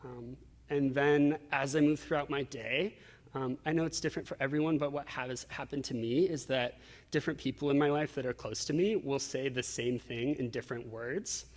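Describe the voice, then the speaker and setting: A man's voice: medium pitch, fairly even intonation, normal speed. A man being interviewed, telling personal stories in a reflective, casual way with acted-out asides. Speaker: interview guest. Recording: reverberant hall-like echo.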